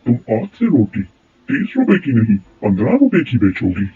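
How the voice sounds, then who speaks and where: A man's voice: mid-range voice, huge pitch swings, normal speed, slight background noise. A man playing an authoritative king in a moral cartoon story, speaking in a formal, regal, commanding way. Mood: stern command. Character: authoritative king, commanding, concerned for his subjects. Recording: tinny phone-like sound, music bed.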